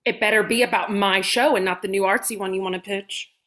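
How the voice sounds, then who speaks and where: A woman playing an imperious, sharp-tongued older comedy star, snarky and commanding, in a comedy table read. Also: medium pitch, steady tone, quick pace, natural voice. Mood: withering.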